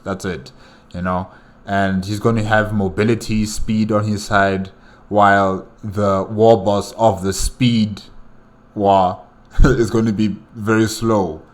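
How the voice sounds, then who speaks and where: A man giving casual, unscripted reaction commentary as host, speaking haltingly and often repeating himself. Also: fairly low pitch.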